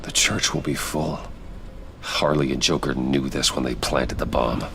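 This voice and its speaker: An actor playing a stern, controlled masked vigilante detective in a video game, speaking in a grave, measured, terse way. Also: male voice, extremely low pitch, fairly even intonation, creaky, fairly bright tone, slight background noise, full character voice. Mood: focused. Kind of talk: dramatic video game acting.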